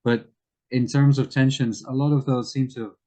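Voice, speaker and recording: A man giving careful, measured explanations of current affairs as a guest in an interview. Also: mid-range voice, little pitch movement, warm tone.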